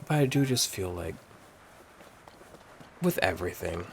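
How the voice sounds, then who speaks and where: Rambling animated man in his twenties. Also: unhurried, vocal fry, soft background music. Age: 20s. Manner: rambling, animated, swings high when excited. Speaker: vlogger. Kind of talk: casual chatty monologue. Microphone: phone camera.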